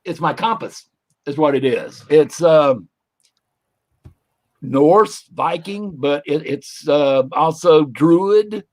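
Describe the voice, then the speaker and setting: A man's voice: a little high, slow, a little mellow. An older man, a demonologist guest in a casual livestream interview, talking in a rambling, folksy way with jokey asides.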